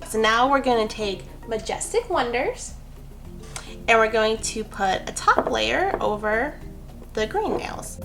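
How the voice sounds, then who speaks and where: A woman's voice: on the high side, vocal fry, fairly bright tone. A woman hosting a nail art tutorial, talking through each step in a chatty, rambling way with easygoing asides about herself. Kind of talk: casual how-to narration.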